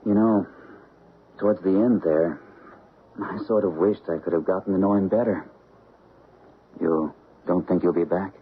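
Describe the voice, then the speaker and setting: An actor playing an average, skeptical suburban husband in an old radio drama, questioning and conversational. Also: male voice, low-pitched, fairly even intonation, moderate pace, long pauses, a little hiss or hum, close to an everyday voice. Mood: wistful. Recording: muffled phone-like old radio recording.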